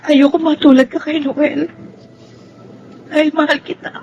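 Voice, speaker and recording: A woman's voice: high-pitched, little pitch movement, unhurried, darkish tone, a little hiss or hum, poor audio. An actress playing a lovesick, clinging young woman, emotional and pleading, in radio melodrama style. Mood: pleading, tender.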